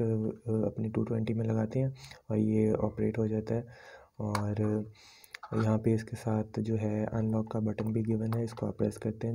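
A man with a Pakistani accent presenting a product unboxing as a shop's sales pitch, politely and in formulaic patter mixed with English words. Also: creaky, mellow, slight background noise. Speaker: online shop presenter.

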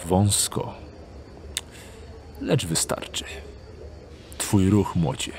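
A man playing a wary thief who mutters to himself in a hushed voice in an audio drama. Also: deep voice, animated, drawn-out words, a little hiss or hum, voice pushed down, heavily acted. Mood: calculating, hushed. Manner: hushed, muttering under his breath.

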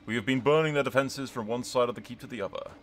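A man's voice: mid-range voice, fast, faint music behind. A man hosting a gaming video, mixing dramatic role-play storytelling with sweary, casual strategy game commentary, in a theatrical manner.

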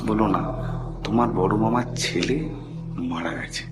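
Male storyteller, middle-aged, fairly low pitch, conversational with some English phrases, prominent music bed. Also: a little slow. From India. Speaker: caller telling a personal ghost story. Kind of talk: personal storytelling. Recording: background music under the voice. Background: loud music.

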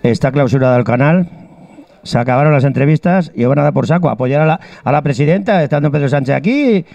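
An older man with a Madrid Spanish accent rants and jokes with blustering energy. His voice runs fairly high for a man, and he speaks into a handheld mic inside a bar. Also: lively intonation, quick pace, gravelly. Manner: loud, blustering, joking. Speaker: guest. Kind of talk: comic ranting banter. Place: bar with wine shelves, indoors.

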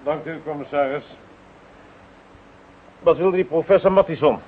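Sardonic inspector male actor, radio play: slightly high voice, impudent politeness, very narrow-band sound. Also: normal speed, close to an everyday voice. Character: small, cheeky, sardonic male police inspector. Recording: thin band-limited radio drama recording, slight noise.

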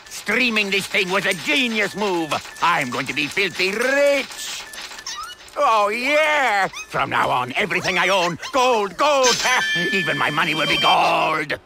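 An actor playing a loud, boastful, hot-tempered greedy villain in a video game cutscene. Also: male voice, high-pitched, sing-song, slow pace, vocal fry, noisy background, heavily acted. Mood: gloating. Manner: boastful, exaggerated.

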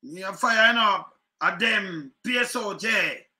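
Jamaican guy ranting into his webcam at home, high voice, real bright and sharp, keeps repeating himself. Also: takes it slowly. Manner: animated, chuckling, repeats phrases, gestures a lot. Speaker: online commentary host. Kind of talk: casual political commentary in patois. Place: home room, indoors. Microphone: webcam at desk.

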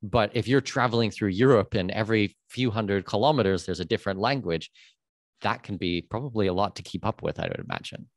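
A man's voice: on the low side, fairly quick, dry recording. A Canadian man in his thirties hosting an interview on a studio mic, talking in a conversational, thinking-aloud way. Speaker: host. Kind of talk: casual interview. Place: large glass-walled room. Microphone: studio mic on a boom arm.

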